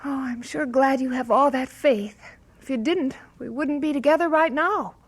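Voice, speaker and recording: A woman's voice: high-pitched, normal speed. A woman playing a devoted, studious young missionary wife in a radio drama, with an American accent and a sweet, thoughtful manner.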